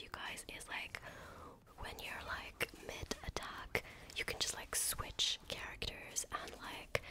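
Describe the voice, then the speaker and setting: A woman whose first language is French, whispering softly in a chatty ASMR gameplay talk with many fillers. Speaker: gaming ASMR creator. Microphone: desk microphone.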